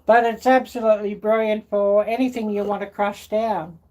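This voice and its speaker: A middle-aged woman chatting casually and unscripted as a live-stream cooking host, halting and rambling as she searches for words. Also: medium pitch, fairly even intonation, darkish tone. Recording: distant mic, clear background noise.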